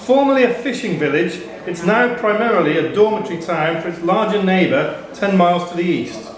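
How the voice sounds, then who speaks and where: A man's voice: high-pitched, expressive, brisk. A man reading out questions as the host of a live pub quiz. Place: indoor pub quiz venue. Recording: echoey pub room with crowd noise. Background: pub crowd.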